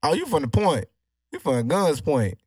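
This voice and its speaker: A man hosting a podcast who laughs often and pokes at his guests with questions. His voice is somewhat high and swings widely in pitch. The sound is dry and close. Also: big pitch swings, normal speed, darkish tone, breathy edge, no room sound. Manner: playful, laughing, probing questions. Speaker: podcast host. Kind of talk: casual podcast chat.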